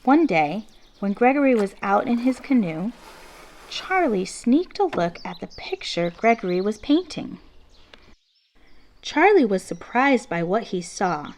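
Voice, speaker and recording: A woman reading a children's picture book aloud expressively, with measured, deliberate phrasing and emphasis on key words. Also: mid-range voice, sing-song, darkish tone. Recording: classical symphony music playing behind. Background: orchestral classical music.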